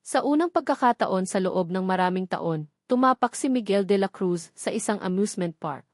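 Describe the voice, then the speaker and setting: A woman narrates a scripted romance story in a steady, emotive, unhurried way. Her voice sits at an ordinary middle pitch. The recording is dry and close to the mic, with no room echo.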